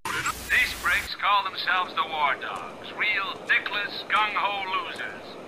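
Sly, sadistic director, swooping and theatrical. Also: male voice, sits high, lively intonation, takes it slowly, noisy background. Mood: scornful, instructing. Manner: theatrical, mock-sincere, swinging from murmur to bark. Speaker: villain directing the player over an earpiece. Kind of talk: video game villain acting.

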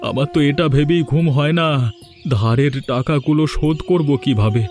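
An actor playing a troubled, fatalistic poor village eldest brother in a cartoon, earnest and grave. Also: male voice, on the high side, moderate pace, a little mellow, soft background music, close to an everyday voice. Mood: gloomy, resigned. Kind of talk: cartoon moral story voice acting.

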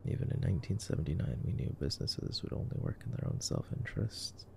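A man doing an ASMR boyfriend roleplay, murmuring laid-back, casual movie commentary with long pauses. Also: rumbling low, gravelly, a little mellow. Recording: faint background noise.

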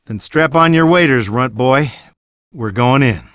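Cocky, gruff video game hero played by a man. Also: mid-range voice, measured pace, fairly bright tone, no echo, clean studio sound, lightly acted. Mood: gruff, bossy. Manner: sardonic, curt, mocking. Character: gruff, cocky middle-aged male monster-fighting hero. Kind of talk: video game cutscene acting.

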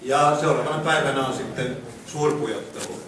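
A man presents informally in a very echoey room. He is picked up from a distance, with clear noise around him. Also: medium pitch. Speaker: presenter at a club meeting. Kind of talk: informal presentation of a competition calendar. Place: indoor meeting room, camera in the audience. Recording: echoey meeting room, distant mic, noisy.

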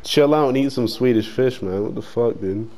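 A man's voice: mid-range voice, normal speed, noisy background. A man in an online game voice chat, exasperated and swearing as he argues back. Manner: exasperated, swearing, laughing off insults. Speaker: online game player and recorder. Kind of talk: heated voice chat argument.

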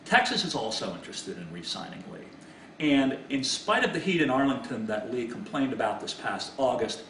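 A man's voice: mid-range voice, fairly bright tone. Middle-aged man, roomy distant sports commentary. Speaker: sports analyst. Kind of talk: informal sports commentary to camera. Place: small indoor home office. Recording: roomy, distant mic, background hiss.

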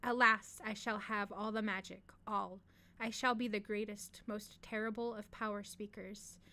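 A woman's voice: mid-range voice, level tone, measured pace, pushed and bright. A woman voicing a mad, boastful undead male sorcerer from a fantasy story, menacing and grandiose. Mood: savage.

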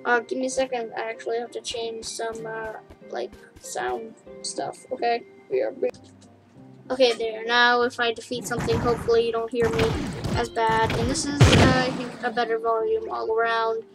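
A woman casually narrating her play of a game for viewers, rambling and chatty, with giggles and excited yelps. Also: fairly high pitch, normal speed. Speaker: gaming YouTuber. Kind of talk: casual let's play commentary. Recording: game music under the voice.

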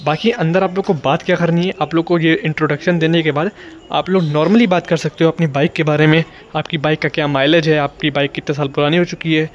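A man's voice: on the high side, fairly even intonation, a little fast. A young man with an Indian accent giving a casual vlog tutorial, explaining informally and repetitively with English words mixed in. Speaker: motovlogging YouTuber giving beginner tips. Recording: dull, lo-fi sound.

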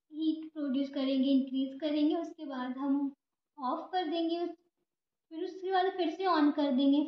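A young woman giving an informal step-by-step lecture, repeating herself and answering her own questions. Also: high voice. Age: young adult. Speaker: physiotherapy student giving a lesson. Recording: echoey room, dull lo-fi sound.

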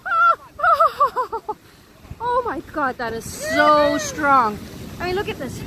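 A woman vlogging with a handheld phone on an ocean beach, exclaiming and laughing often as she narrates. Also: extremely high pitch. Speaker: family vlogger filming. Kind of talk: excited casual narration while filming. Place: outdoors on an ocean beach with surf. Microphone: handheld phone camera. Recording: loud surf noise behind.

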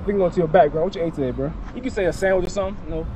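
A man's voice: sits high, rapid-fire, background noise. Emphatic young man preaching outdoors. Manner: emphatic, questioning, repeats words. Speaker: street preacher. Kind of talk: outdoor street preaching with questions. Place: outdoors by a parking lot. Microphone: handheld mic.

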